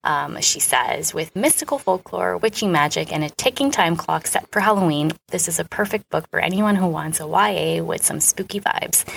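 Female podcast co-host, slightly low and creaky, informal and enthusiastic, faint noise behind. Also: a bit bright, rough recording. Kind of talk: chatty conversational explainer.

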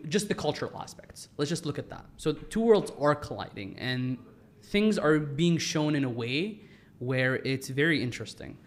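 Hesitant man explaining a viewpoint. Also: medium pitch. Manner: explanatory, hesitant, many fillers. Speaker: panelist. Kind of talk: heated panel debate.

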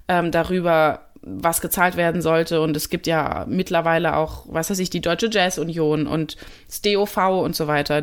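A young woman from Germany, a musician answering interview questions in a casual, thoughtful way, pausing often with fillers and restarts. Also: on the low side.

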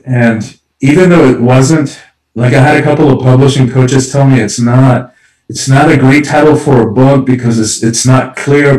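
A man's voice: medium pitch, darkish tone, roomy sound, far from the mic, low-quality recording. A man who grew up in Cuba, an author and speaker, talking reflectively in an interview and often repeating words as he gathers his thoughts. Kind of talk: conversational interview.

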